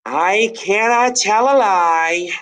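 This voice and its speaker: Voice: medium pitch, takes it slowly, fairly bright tone, voice pushed up. A storyteller voicing a cheeky, honest colonial boy who cheerfully owns up to everything.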